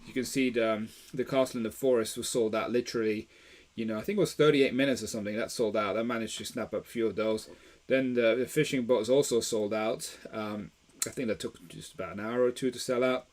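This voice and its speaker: A man's voice: medium pitch, steady tone, a little fast, a little mellow. Belgian-born man hosting a chatty live stream. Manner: chatty, many fillers and restarts. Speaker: host of a toy deals live stream. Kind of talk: casual live stream talk.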